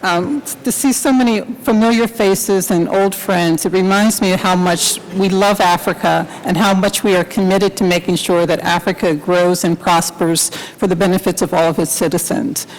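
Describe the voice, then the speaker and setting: A soft-voiced woman on a policy panel, graciously thanking her hosts before answering. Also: fairly low pitch, steady tone, normal speed, few pauses, a little hiss or hum, low-quality recording. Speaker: panelist, trade official.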